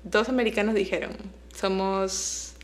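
A woman's voice: medium pitch, steady tone, a little slow. A woman co-hosting a casual podcast, chatty and teasing, laughing often as she talks. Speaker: podcast co-host. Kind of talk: casual chat. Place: Boston.

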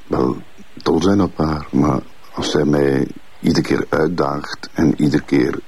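A man playing a haughty, defensive middle-aged bailiff father with a Flemish accent, gravelly and husky, denying accusations haltingly. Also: a bass voice, fairly even intonation, a little mellow, heavily acted. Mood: halting, guarded. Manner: halting, repetitive denials.

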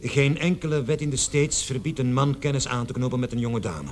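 An actor playing a defensive, aggrieved murder suspect in a radio play, speaking in an offended, emphatic way. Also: male voice, mid-range voice, brisk, slight background noise, lightly acted. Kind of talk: Dutch radio play acting.

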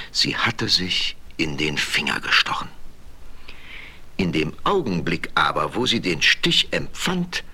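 A man's voice: low voice, bright, forceful tone, husky. A man narrating a scripted fairy tale in a theatrical, dramatic storytelling manner.

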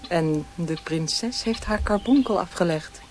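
A worldly hostess in a radio play, voiced by a woman, over clear background noise with birdsong. She talks calmly, with languid detachment. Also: lowish voice, a little slow, close to an everyday voice. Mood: languid, detached. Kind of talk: radio drama acting.

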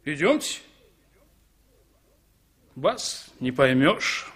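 A man's voice: on the high side, very animated, extremely slow, fairly bright tone, heavily acted. A man playing a pushy, huffy motorist in a 1960s radio drama, insistent and easily affronted. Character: pushy, huffy male motorist offering lifts.

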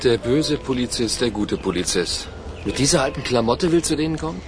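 A man's voice: medium pitch, expressive, fairly quick, slight background noise, close to an everyday voice. An actor in a radio play voicing a skeptical, appraising male accomplice with a dry, judging manner.

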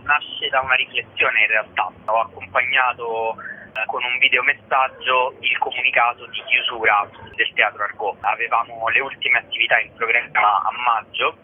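A man speaking spontaneously as a radio interview guest, explaining things in a measured way. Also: medium pitch, steady tone. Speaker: interview guest, theatre organiser. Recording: narrow phone line, faint noise.

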